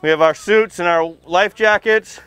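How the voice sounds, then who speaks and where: High-pitched, clear American male firefighter. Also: moderate pace, a bit bright, no echo. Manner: projecting, asking simple questions, folksy. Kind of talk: informal demonstration talk to young children. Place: outdoors, under a tent and beside a fire truck.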